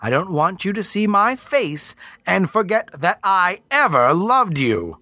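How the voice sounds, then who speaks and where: A man voicing a commanding female earth spirit who speaks in slow, drawn-out, menacing words. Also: high-pitched, very animated, sharp tone, no echo, pitched above the performer's own voice, exaggerated voice.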